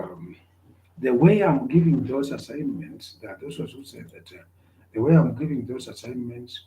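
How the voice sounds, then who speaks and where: Slow, dark-voiced male lecturer. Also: medium pitch, roomy sound, slight background noise, poor audio. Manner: repetitive, checks on listeners. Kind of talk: online class lecture.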